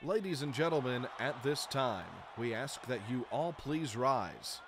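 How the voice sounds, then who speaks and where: A man's voice: mid-range voice, lively intonation, measured pace, a little hiss or hum. A man in his thirties with an American accent, announcing as a baseball public address announcer and stretching out names in a showman's delivery. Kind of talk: scripted sports PA announcing. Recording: music behind the announcements. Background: music.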